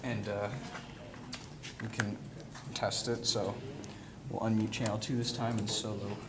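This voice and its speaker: Low-voiced man, halting tutorial, noisy recording. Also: level tone. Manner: informal, halting, thinking aloud while working. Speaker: instructor demonstrating audio equipment. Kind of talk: casual hands-on tutorial. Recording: clearly noisy room recording with handling sounds.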